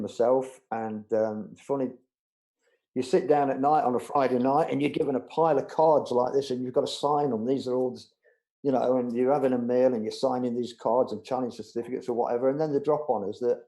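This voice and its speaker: A man's voice: medium pitch, steady tone, normal speed, dark tone, rough recording. A middle-aged man, telling anecdotes in a casual interview, chatty and full of restarts. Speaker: budgerigar show judge, interview guest.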